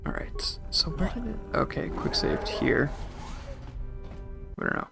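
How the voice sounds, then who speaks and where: Gravelly young man chatting with stream. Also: fairly high pitch, wildly swooping pitch, a little slow, over loud music. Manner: rambling, reads chat messages aloud. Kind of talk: casual chat with live chat while gaming.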